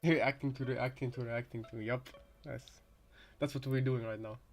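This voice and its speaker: A man's voice: medium pitch, fairly even intonation, moderate pace, soft background music. Irreverent man reacting in casual chat. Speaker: co-commentator. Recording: noisy home microphone recording.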